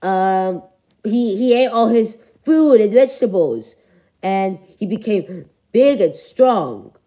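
A woman's voice: mid-range voice, animated, warm tone. A woman playing a weary, evasive caretaker who speaks hesitantly in a comedic cartoon skit. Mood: sheepish. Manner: hesitant, halting.

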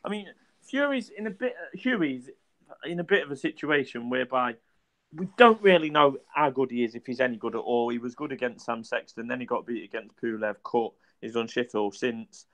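A man's voice: mid-range voice, expressive, brisk, fairly bright tone. A man, a co-host on a casual boxing podcast, who talks bluntly, swears freely and sounds dismissive.

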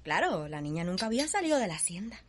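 An actress playing a gossipy, teasing plantation servant woman with a husky voice and Cuban accent in a radio soap opera. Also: medium pitch, sing-song, very fast, a little hiss or hum, natural voice. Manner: chatty, folksy, teasing. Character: gossipy, teasing enslaved plantation cook or maid.

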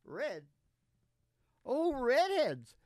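A man's voice: very high voice, huge pitch swings, drawn-out words, darkish tone, no room sound, studio quality. An older man hosting a music podcast, talking in a folksy, jokey, mock-boastful way. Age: older, 60s or above. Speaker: podcast host and singing DJ. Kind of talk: rambling comic banter between songs. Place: small curtained indoor studio stage. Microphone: stand microphone, close.

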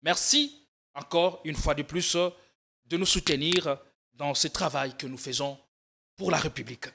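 A young man hosting a political TV interview show, animated and energetic as he introduces his guest and asks questions. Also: on the high side, unhurried, creaky. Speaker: TV talk show host. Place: TV studio. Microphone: desk microphone.

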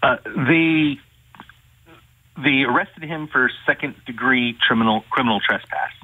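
A man, an attorney, calling in to an interview and recounting a case in a measured way. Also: medium pitch, a little hiss or hum. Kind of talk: phone-in interview. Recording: tinny phone line.

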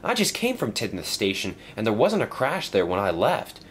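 A man's voice: on the low side, wildly swooping pitch, moderate pace, a bit bright, slight background noise. A man doing Stepney, a fretful old engine. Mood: anxious. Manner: anxious, earnest. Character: fussy old male steam engine.

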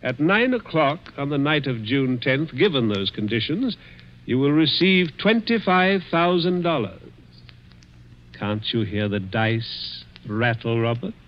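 An actor playing a sly, menacing, miserly rich man in a vintage radio thriller, deliberate and taunting, with hesitant pauses. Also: mid-range voice, sing-song, a little hiss or hum. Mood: gloating. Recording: muffled old radio drama recording.

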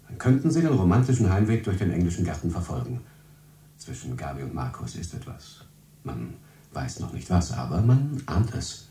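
An actor playing a solemn, mock-romantic narrator in a radio play, speaking in a portentous, drawn-out, theatrical way. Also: male voice, rumbling low, dark tone, heavily acted. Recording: distant, roomy 1970s radio recording.

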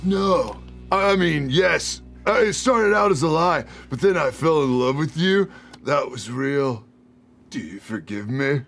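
Casting: man doing cocky eighties high school jock, high-pitched, slangy, light music behind. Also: measured pace, vocal fry, fairly bright tone. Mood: pleading. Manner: slangy bro-talk. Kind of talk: campy eighties teen movie parody.

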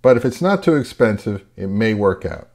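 A man with a fairly low, husky voice hosts a hobby video. He talks casually, in the informal style of a home vlog. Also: very animated, normal speed, a little mellow. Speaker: host of an audio repair vlog.

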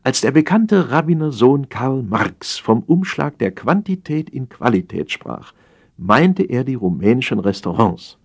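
A man's voice: medium pitch, big pitch swings, measured pace, vocal fry, heavily acted. A man playing an opinionated café regular in a satirical story, explaining things slyly and pompously with emphasis. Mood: lecturing. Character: opinionated male café regular, slyly lecturing his friend.

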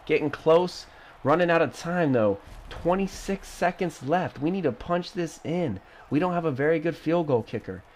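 A young man with a stuffy nose from a cold, giving casual, excitable commentary over his own football video game play. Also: a little high, slight background noise. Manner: excitable, trash-talking, frequent groans. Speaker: gaming YouTuber.

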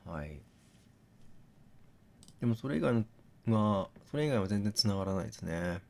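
A man doing gaming commentary, thinking out loud and reasoning step by step, mixed with reading text aloud. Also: on the low side, lively intonation, moderate pace, creaky. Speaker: game streamer reading on-screen text aloud.

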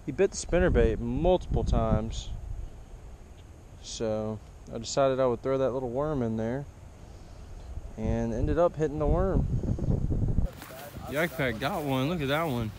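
A young man casually commenting on his bass fishing to viewers, excited and laughing, filmed on a head-mounted camera by a canal. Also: unhurried, long pauses, background noise. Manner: excited, laughing, talks to viewers as boys and girls. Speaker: fishing vlogger. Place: grassy canal and pond bank outdoors. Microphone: head-mounted action camera.

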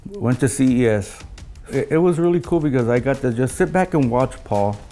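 An older man telling stories in a casual, halting way, as an engineer looking back in an interview. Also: mid-range voice, lively intonation, mellow, soft background music. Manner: halting, anecdotal storytelling with quoted dialogue. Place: living room of a home, indoors.

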